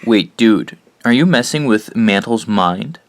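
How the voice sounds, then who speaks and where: An actor playing a troubled, earnest teenage boy in an audio drama, speaking haltingly. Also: on the low side, lively intonation, faint music behind. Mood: bewildered.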